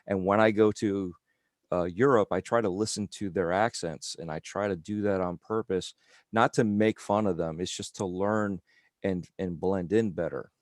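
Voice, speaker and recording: A man co-hosting an online interview, telling a story in a halting, rambling way. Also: sits low, steady tone, no room sound, clean studio sound. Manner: rambling, halting, restarts often. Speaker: co-host. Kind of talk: casual chat, storytelling.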